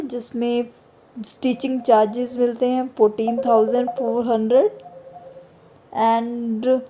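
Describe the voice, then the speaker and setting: Slow, mellow-voiced woman explaining. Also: fairly high pitch. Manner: slow, measured, reads figures step by step. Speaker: exam prep presenter. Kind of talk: explainer lesson mixing Hindi and English.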